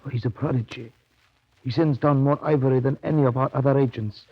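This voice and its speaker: Earnest assistant manager, muffled radio sound. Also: male voice, mid-range voice, fairly even intonation, brisk, slightly rough. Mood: admiring. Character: admiring assistant manager of colonial station. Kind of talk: 1930s radio drama acting. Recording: dull, lo-fi 1930s radio recording.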